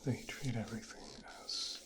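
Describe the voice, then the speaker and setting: A man playing a menacing, possessive, resentful mafia boss's son in an intimate ASMR roleplay, speaking in a breathy, brooding, teasing hush. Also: medium pitch, wildly swooping pitch. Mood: bitter, resentful. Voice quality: breathy, whispered. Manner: slow, hushed, brooding, teasing.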